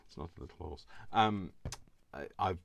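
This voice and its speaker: A very low-pitched man from the UK talks through a crafting tutorial in a casual, rambling way, tripping over his words and laughing at himself. His voice is a little hoarse, and there is faint noise behind him. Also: moderate pace. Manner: rambling, self-deprecating, stumbles over words. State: slightly hoarse from allergies.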